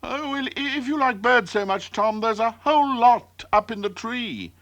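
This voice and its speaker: Guy doing a tiny panicky baby bird for a kids story, way high and shrieky, bright. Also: animated, normal speed, no echo. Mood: excited. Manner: shrieking, alarmed cries. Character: small excitable baby bird, easily panicked.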